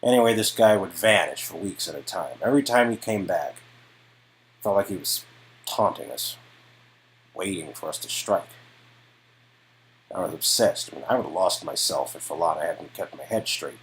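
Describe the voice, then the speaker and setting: Weary male detective, acted by a man, deep raspy voice, guarded and halting, story-game dialogue. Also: expressive, poor audio. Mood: grave, intense. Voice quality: low and raspy.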